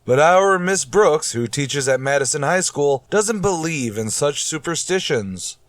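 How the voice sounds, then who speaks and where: An actor playing the announcer who introduces a scripted radio comedy. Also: male voice, fairly high pitch, expressive, unhurried, no echo. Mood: scene-setting.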